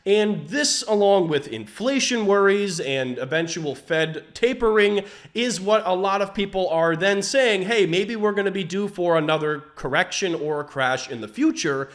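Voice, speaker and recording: A man's voice: high voice, animated, normal speed. A man in his twenties casually explaining investing to his viewers, upbeat and chatty with frequent fillers and asides. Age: 20s. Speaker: finance YouTuber. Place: his home property. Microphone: camera setup, framed from a distance.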